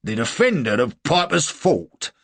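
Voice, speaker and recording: A man's voice: mid-range voice, wildly swooping pitch, measured pace, fairly bright tone, breathy edge, no echo, voice pushed up, full character voice. A man voicing a bluff, loyal British army sergeant with a working-class English accent, hearty and blunt but deferential to officers, in an audiobook story. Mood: delighted.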